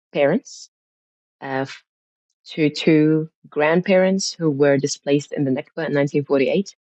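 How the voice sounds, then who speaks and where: A woman's voice: low voice, warm tone, dry recording. A woman from Syria, a podcast guest, recounting her family history in a reflective, conversational way with frequent restarts. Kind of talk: conversational interview. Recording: muffled lo-fi sound.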